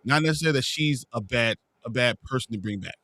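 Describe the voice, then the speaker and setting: A man co-hosting a casual chat show, ranting with animated, heated energy. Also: medium pitch, fast talker, no echo.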